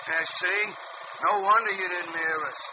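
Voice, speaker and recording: An actor playing a polite, hesitant sidekick crook who stammers, in 1940s radio drama. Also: male voice, high-pitched, fairly even intonation, moderate pace, close to an everyday voice. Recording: dull old radio recording with clear hiss.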